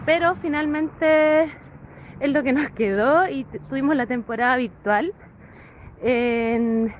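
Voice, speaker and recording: A high-voiced woman, speaking in a friendly, steady way as she explains her dance company's work. The recording is a little muffled, with a faint hiss behind her. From Chile. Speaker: dance theatre performer. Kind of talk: recorded voice message.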